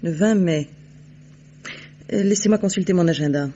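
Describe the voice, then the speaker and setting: An actress playing a haughty, shrewd, mercenary society woman in a vintage French radio drama, with poised, disdainful, deliberate delivery. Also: on the low side, mellow, natural voice. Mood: guarded, haughty. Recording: dull, noisy old radio recording.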